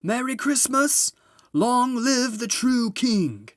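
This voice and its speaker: A man voicing a big, solemn, kindly old gift-giver in a storybook, with slow, booming, grand proclamations. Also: expressive, no room sound, studio quality, full character voice. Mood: jubilant.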